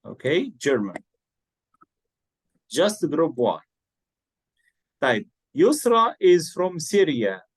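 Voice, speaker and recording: A man's voice: on the high side, wildly swooping pitch, very slow, long pauses, slightly rough. A man, teaching an English lesson and patiently coaxing and correcting his student's answers. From Egypt. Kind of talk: one-to-one online English lesson.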